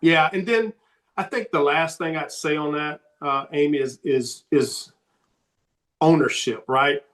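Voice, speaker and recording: A man answering as a sports recruiting coach on a podcast, emphatic and repeating his key points, his voice slightly hoarse. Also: fairly high pitch, measured pace, a bit bright.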